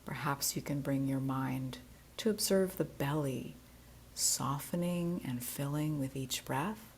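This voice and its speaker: Female yoga nidra teacher, low register, very measured pace, gentle and soothing guided-meditation delivery. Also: a little hiss or hum. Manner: soothing, gentle, unhurried instruction.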